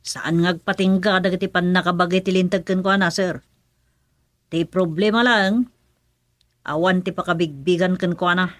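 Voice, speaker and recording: A woman's voice: on the low side, fairly even intonation, moderate pace, pauses often, fairly bright tone, dry recording, lightly acted. A woman with an Ilocano Filipino accent playing a loyal, plain-spoken underling in a radio drama. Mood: hesitant.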